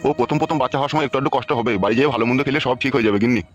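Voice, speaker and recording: A man's voice: medium pitch, racing, muffled recording, lightly acted. A man voicing a brash middle-aged village father in a comedy cartoon, blustering coarsely. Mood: reassuring. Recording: music behind the voice.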